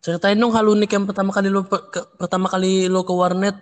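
A man's voice: high voice, flat delivery, fast talker. A young man interviewing casually, asking chatty, slangy questions.

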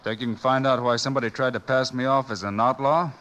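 A man playing a steady, self-assured Old West marshal in a 1950s radio drama, cool and matter-of-fact, with an American accent. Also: medium pitch, fast talker, close to an everyday voice. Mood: cool, confiding. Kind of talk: 1950s radio western acting. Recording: noisy old radio recording.

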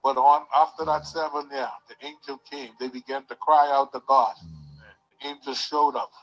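A man's voice: mid-range voice, level tone, normal speed, dull audio. A man leading a church service, preaching fervently with call-and-response and repeated hallelujahs. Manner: repeats hallelujah, rises to shouts.

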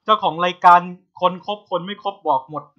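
A man's voice: high voice, brisk. A man co-hosting a podcast in casual chat, on a slightly dull, lo-fi connection. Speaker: podcast co-host.

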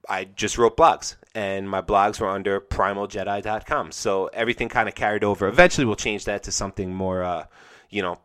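Male podcast host, deep voice, congested and drowsy, enthusiastic ad read with lots of ums. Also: a bit bright. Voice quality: slightly nasal. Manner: rambling and enthusiastic, many fillers and restarts. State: congested from a cold, sleepy morning voice.

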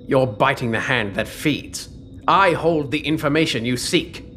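An imperious, secretive ruler figure in sci-fi audio fiction. He commands with haughty control in a mid-pitched voice, with faint music behind him. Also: unhurried, pushed and bright. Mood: haughty, menacing. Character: imperious, secretive armour-suited man of power. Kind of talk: audio drama acting.

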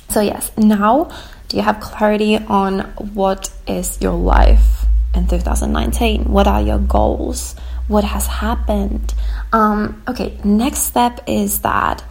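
A young woman hosting a podcast episode, gently guiding listeners through a reflection exercise while hoarse and losing her voice, with many likes and ums. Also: medium pitch, low-quality recording. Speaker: podcast host.

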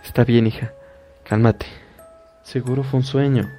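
A man's voice: mid-range voice, fairly even intonation, a little slow, loud music behind the voice, lightly acted. A man voicing a worried mother who speaks firmly and soothingly to her daughter in a horror story. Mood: calming. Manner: soothing, firm, reassuring. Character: worried middle-aged mother, calming.

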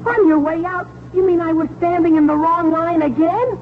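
A man's voice: very high-pitched, moderate pace. An actor playing a cheeky, guileless teenage boy in an exclamatory, complaining 1940s radio comedy style. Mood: impatient grumbling. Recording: noisy, tinny 1940s radio broadcast.

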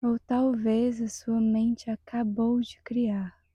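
A Brazilian woman leading a guided meditation in a soft, breathy, half-whispered voice, calm and soothing, with long measured pauses. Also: on the high side, dry recording, dull audio.

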